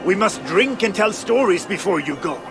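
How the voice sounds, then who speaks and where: A man's voice: high voice, lively intonation, moderate pace, creaky. An actor playing a genial, peaceable Danish Viking king in a video game, with a stately and amiable manner. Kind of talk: dramatic video game acting. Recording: music behind the voice.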